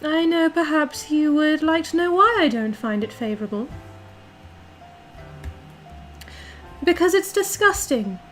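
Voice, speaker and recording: An imperious British duchess played by a woman, with a very high, girlish pitch. She is pompous and condescending, handing out orders to her stream audience as if to servants, and leaning hard on words for emphasis. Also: normal speed, fairly bright tone, soft background music. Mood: disdainful. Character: haughty, bossy aristocratic dominant girlfriend calling herself Duchess. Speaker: livestreamer playing a character for viewers. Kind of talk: in-character roleplay livestream, reading and answering chat.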